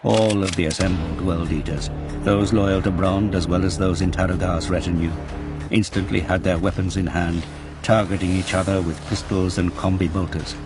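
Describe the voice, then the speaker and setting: A man narrates an audio drama in a low-pitched voice. His reading is grave and deliberate, with dramatic intensity and clear music playing behind him. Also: fairly even intonation. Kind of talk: dramatic scripted fiction narration.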